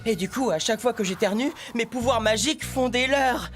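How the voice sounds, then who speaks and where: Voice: medium pitch, fairly quick, faint music behind, lightly acted. An actor playing a flustered male pirate genie in a cartoon, sneezy with allergies and lamenting dramatically. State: allergic, ticklish nose. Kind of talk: cartoon voice acting.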